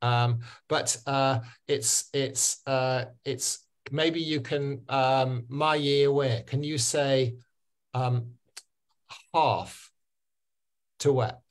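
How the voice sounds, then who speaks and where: A man's voice: mid-range voice, steady tone, very slow. A man teaching pronunciation on a video call, full of fillers and restarts. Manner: hesitant, many fillers and restarts. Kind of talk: online class.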